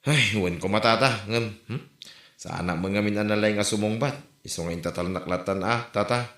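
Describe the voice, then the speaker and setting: A man's voice: on the low side, moderate pace, a bit bright, natural voice. A man with an Ilocano accent acting as an aggrieved, protective older sibling in a comedy drama. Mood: subdued. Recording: roomy, echoing room sound.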